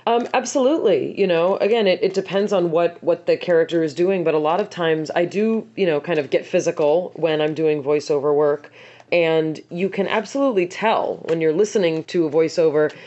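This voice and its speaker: A woman with a rough, scratchy voice answering interview questions as a voice actor, chatty and full of fillers. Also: fairly low pitch, fairly quick, warm tone, dull audio.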